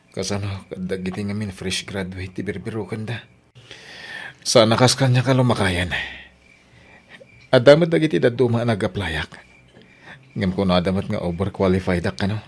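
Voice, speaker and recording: A man's voice: on the low side, moderate pace, pauses often, a little hiss or hum, lightly acted. An actor with an Ilocano accent playing a proud, reassuring husband and father in a radio drama. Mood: discouraged.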